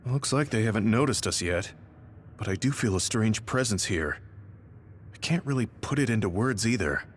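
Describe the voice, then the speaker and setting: An actor playing a level-headed, observant soldier in a video game cutscene. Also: male voice, fairly low pitch, expressive, moderate pace, a little hiss or hum, lightly acted. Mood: wary.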